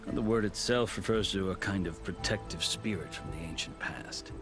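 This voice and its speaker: A man playing a seasoned, proud warrior turned lawgiver in a video game, telling his tale in measured, formal, eloquent phrases. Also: low-pitched, lightly acted. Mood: proud, wry. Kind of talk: scripted video game cutscene dialogue. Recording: music behind the voice.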